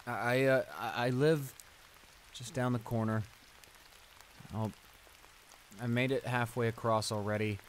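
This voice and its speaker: A man's voice: mid-range voice, natural voice. A man playing a flustered, apologetic young bully in an improvised roleplay audio drama, speaking in a hesitant, stammering way. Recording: steady rain noise behind the voice. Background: rain.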